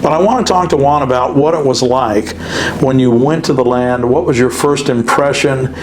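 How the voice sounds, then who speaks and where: A man hosting a faith-based interview, speaking earnestly with frequent pauses and filler sounds. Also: mid-range voice, background noise. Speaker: host and ministry president.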